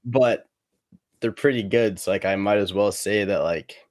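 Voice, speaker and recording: A man's voice: lowish voice, moderate pace, darkish tone, no echo, clean studio sound. A twenty-year-old man chatting casually as a podcast guest, rambling hesitantly and saying "like" a lot. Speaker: guest cornhole player. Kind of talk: casual podcast interview chat.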